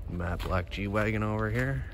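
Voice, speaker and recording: A man's voice: fairly low pitch, measured pace. A man giving casual, clipped commentary on cars as he films them outdoors on a handheld phone. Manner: terse, clipped remarks. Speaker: car auction vlogger. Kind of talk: casual car walkaround commentary. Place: outdoor car lot. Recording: noisy outdoor recording, ambient vehicle sounds.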